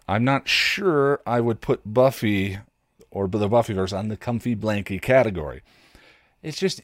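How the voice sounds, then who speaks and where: A man's voice: lowish voice, no echo, clean studio sound. A man hosting a casual solo podcast in a rambling, self-deprecating way, often acting out his own inner dialogue. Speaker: podcast host.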